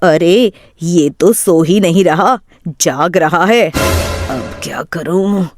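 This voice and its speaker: A woman storyteller playing a sly thieving woman who puts on motherly kindness, speaking in a coaxing, unhurried way. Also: lowish voice, music in the background, voice pushed down. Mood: scheming.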